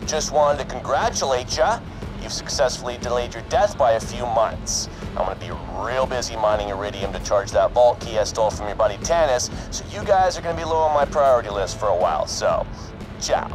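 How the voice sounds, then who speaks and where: An actor playing a cocky, sarcastic villain in a video game, mocking and flippant with false friendliness. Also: male voice, mid-range voice, lively intonation, a little fast, close to an everyday voice, poor audio. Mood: smug, mocking. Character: smug, cocky, sarcastic male villain tycoon. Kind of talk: scripted taunting message in a video game. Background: music.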